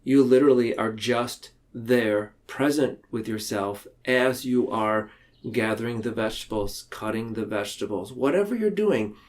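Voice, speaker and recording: Male podcast talker, mid-pitched, reflective and explanatory, halting delivery with frequent rephrasing. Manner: halting, circles back and rephrases mid-sentence.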